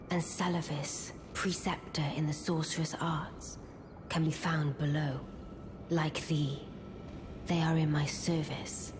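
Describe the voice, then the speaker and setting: A woman playing an aloof, enigmatic witch in a video game, soft and breathy, speaking in formal archaic English. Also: low-pitched, noisy background. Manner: slow, measured, formal and imperious. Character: aloof, enigmatic female witch of quiet authority. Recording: game music under the voice.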